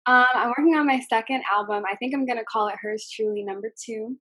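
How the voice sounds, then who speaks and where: A young woman who sings, answering interview questions in a soft voice, with many ums and likes. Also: a little high, fast, husky, no echo. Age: young adult. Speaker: singer being interviewed.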